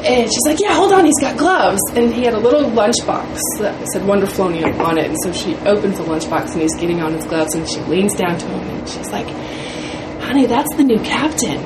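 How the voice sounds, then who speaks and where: A woman's voice: medium pitch, animated, brisk. A woman being interviewed, casually telling an anecdote and acting out what people said. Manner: acts out quoted speech. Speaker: film cast or crew interviewee. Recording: echoey room, loud background noise.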